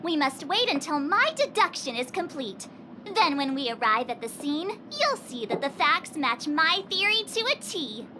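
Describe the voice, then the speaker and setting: A bouncy young sleuth. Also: female voice, high-pitched, normal speed, slight background noise, heavily acted. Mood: eager. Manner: bouncy, playful, animated. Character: playful young woman detective, eager and theatrical. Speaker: player character. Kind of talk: game dub dialogue. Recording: game dub over music.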